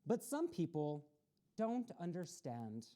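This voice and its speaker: A woman's voice: on the low side, big pitch swings, takes it slowly, mellow. A woman hosting a children's story time, reading aloud and explaining things simply, posing questions to young listeners.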